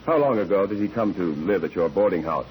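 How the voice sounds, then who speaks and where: An actor playing a methodical lawyer examining witnesses in a 1940s radio drama, formal, deliberate and insistent in his questioning. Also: deep voice, lively intonation, a little mellow. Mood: formal, probing. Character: courtroom examiner, a probing, methodical male lawyer. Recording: noisy old 1940s radio recording.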